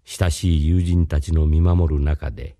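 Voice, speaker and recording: A man's voice: a bass voice, little pitch movement, measured pace, warm tone, dry recording, clean studio sound. A man playing a mysterious, courteous philosophy teacher, narrating in a scripted radio drama. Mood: solemn, explanatory.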